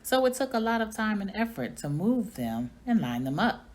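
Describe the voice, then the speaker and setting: A woman reading a children's story aloud in an expressive storytelling manner. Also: mid-range voice, moderate pace. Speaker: reading coach or teacher reading aloud.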